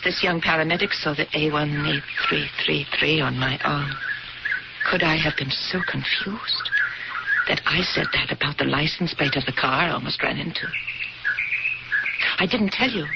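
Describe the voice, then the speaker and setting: A rattled young wife after a crash, shaky and anxious, old radio play, dull lo-fi sound with hiss. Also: female voice, low voice, measured pace, vocal fry, long pauses. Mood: haunted. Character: shaken married woman after a car crash, nervous. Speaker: radio drama actress. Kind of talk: 1970s radio drama acting.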